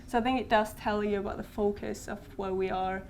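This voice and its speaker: Soft, flat-voiced Finnish-born woman. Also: mid-range voice, a little fast, noisy background. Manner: thoughtful, hesitant with frequent ums. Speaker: guest. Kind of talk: conversational podcast interview. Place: conference venue.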